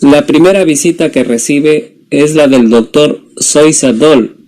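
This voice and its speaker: A man's voice: on the high side, unhurried, dark tone. A young man, a student presenter, reading a scripted story summary as narrator. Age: young adult.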